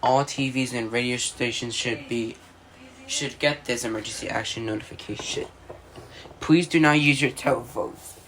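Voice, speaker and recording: An amateur announcer reads mock weather and emergency alerts in a mid-pitched voice. The delivery is halting, with stumbles and repeated phrases. There is some faint noise behind the voice. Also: steady tone, fairly bright tone, low-quality recording.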